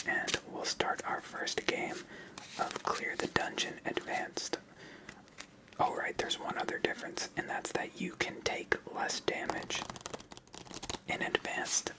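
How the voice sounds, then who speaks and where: An ASMR host whispering a methodical, step-by-step walkthrough of a card game. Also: low-quality recording. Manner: methodical, explains moves step by step.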